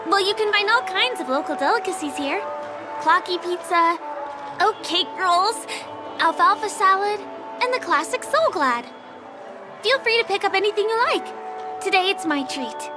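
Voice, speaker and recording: An actress voicing a cheerful, gentle young woman who works as a local tour guide in a video game, speaking politely and eagerly. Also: very high-pitched, lively intonation, normal speed. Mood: cheerful, generous. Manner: polite, friendly, eager to please. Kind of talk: English video game dub dialogue. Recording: game music behind the voice. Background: background game music.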